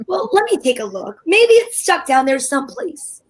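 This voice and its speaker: A woman's voice: sits high, sing-song, moderate pace. A woman playing an anxious, pushy housewife in old time radio comedy style, insistent and impatient.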